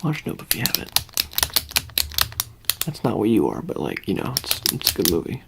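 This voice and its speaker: A soft-spoken ASMR roleplayer, sometimes whispering, playing an offhand handler in a hesitant, rambling, casually reassuring way. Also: low voice, wildly swooping pitch, moderate pace, creaky, heavy background noise. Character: offhand handler preparing an alien for public exposure.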